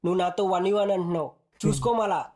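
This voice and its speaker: A woman's voice: fairly low pitch, fast talker, a little mellow. A woman with a Telugu accent delivering short punchy game voice-pack callouts in a slangy, bossy, teasing way.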